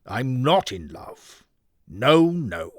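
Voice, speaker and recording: A stammering, defensive football fan acted by a male reader in an audio story. The delivery is lively and halting, recorded close and dry. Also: medium pitch, no room sound, exaggerated voice. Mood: flustered denial. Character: flustered male football fan, stubbornly in denial about love.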